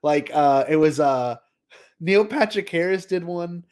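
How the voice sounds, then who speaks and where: A male podcast co-host with a moderately high voice talks casually as a fan. He is keen and emphatic, peppering his loose, conversational delivery with slang. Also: lively intonation, a little slow, a little mellow, smooth.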